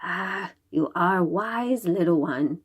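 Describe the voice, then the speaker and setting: A woman voicing a greedy, wicked male monster in a children's storybook, slow and menacing. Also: lowish voice, lively intonation, breathy edge, exaggerated voice. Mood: scheming. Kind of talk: children's storybook read-aloud.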